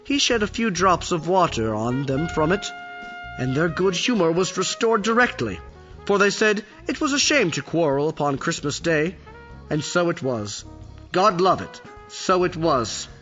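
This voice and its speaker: A man's voice: fairly high pitch, big pitch swings, unhurried, fairly bright tone. A man reading scripted storybook narration for an audio play, on a dull recording with music behind.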